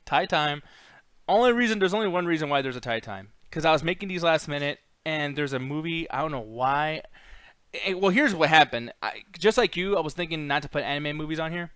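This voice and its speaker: A man's voice: on the high side, lively intonation, fairly quick, a bit bright, smooth, dry recording, clean studio sound. A man co-hosting a casual movie chat show, blunt and opinionated, swearing freely. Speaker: co-host of a video game movie chat show.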